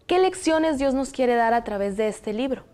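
A young woman from Zacatecas with a Mexican Spanish accent, hosting a studio discussion on a lapel mic in a TV studio, speaking in a measured, explanatory way. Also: fairly high pitch, animated, a little mellow. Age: young adult. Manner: measured, explanatory, reads passages aloud. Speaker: host. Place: television studio, indoors.

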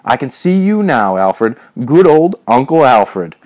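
A man's voice: medium pitch, big pitch swings, quick pace, darkish tone, voice pushed up, natural voice. A man voicing a swaggering, muscular young bully who leads a gang in a story, with sneering, taunting, slangy talk. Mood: mocking, sneering.

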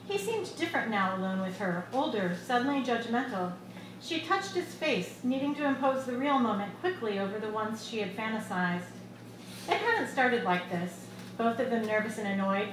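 High-voiced woman reading, very echoey room. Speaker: author reading her own short story. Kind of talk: live literary reading of scripted fiction. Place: indoor bar at a live reading event. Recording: echoey bar room with loud background noise.

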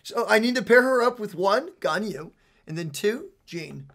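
A man in his twenties hosting a casual game trailer reaction in an excitable, exclamatory way. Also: high-pitched, normal speed. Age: 20s. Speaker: reaction show host. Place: small indoor streaming setup.

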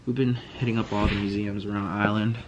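Young man, laid-back vlogger, slangy rambling recap, lowish voice, close phone mic, faint background hiss. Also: level tone, a little fast, dull audio. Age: young adult. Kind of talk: casual evening recap vlog. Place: small walk-in closet indoors. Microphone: phone camera close to face.

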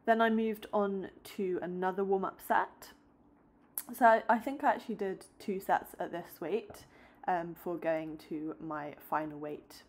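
A young woman vlogging about her day and workouts, chatting upbeat to a close phone camera, then calmly explaining each exercise in a voice-over. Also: fairly low pitch, unhurried. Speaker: fitness vlogger. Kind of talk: casual vlog chat and workout voice-over.

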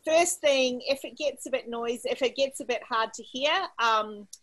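Slightly high-voiced woman hosting online. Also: normal speed, a bit bright, low-quality recording. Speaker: host. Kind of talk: informal housekeeping for online event. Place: indoor room at home. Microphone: computer mic on video call.

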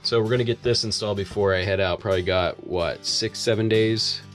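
Brief: low-voiced man in his 30s, relaxed vlog chatter, handheld camera, soft music underneath. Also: fairly quick. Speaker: vlogger, bus owner. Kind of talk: casual vlog narration. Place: inside a converted school bus.

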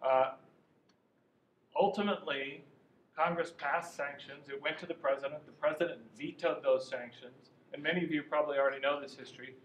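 A man in his sixties giving an informal talk to an audience in a university classroom, conversational and animated, with some restarts. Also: fairly high pitch, fairly quick. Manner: conversational, gestures while talking, some restarts. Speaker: guest speaker, lobbyist. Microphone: far camera, no mic. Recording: echoey classroom from far off, faint noise.

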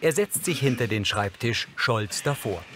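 A man's voice: mid-range voice, animated, moderate pace, background noise. A man reading scripted audio description narration for a television film.

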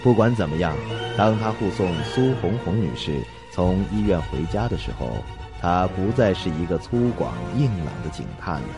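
A man narrating a suspense radio drama in a scripted, dramatic storytelling style. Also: low-pitched.

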